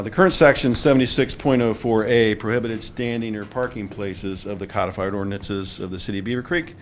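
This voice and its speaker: A man serving as police chief, giving a formal, steady report to the council. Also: on the low side, slight background noise. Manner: reads an official summary steadily. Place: council chamber. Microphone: table microphone.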